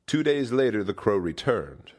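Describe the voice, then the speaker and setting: A man's voice: on the low side, big pitch swings, moderate pace, over loud music. A man reading scripted narration and credits as the narrator of an audio story.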